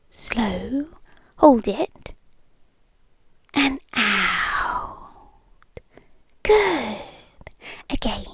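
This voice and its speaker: Woman playing a slow, whispery British yandere girlfriend. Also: sits high, dry recording, exaggerated voice. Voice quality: soft, breathy, often dropping into whispers. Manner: slow, lingering, coaxing delivery with pauses. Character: possessive, doting yandere girlfriend, soothing and clingy. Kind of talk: intimate ASMR roleplay, soft and whispered. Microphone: close microphone, dry.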